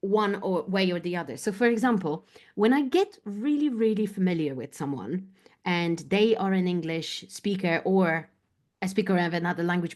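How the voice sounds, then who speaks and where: A woman from Poland whose first language is Polish, a guest talking reflectively in an interview and often pausing to find her words. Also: medium pitch, a little mellow. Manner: thoughtful, searching for words, many fillers. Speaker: guest, business coach.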